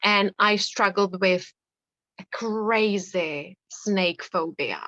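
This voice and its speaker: A Czech-accented woman in her thirties talks in a chatty, animated way and laughs easily as she speaks. Her voice sits at an ordinary middle pitch. The recording is close and dry, with no room sound. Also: drawn-out words, no echo, muffled recording. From Czech Republic. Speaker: hypnotherapist guest. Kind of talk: casual interview storytelling.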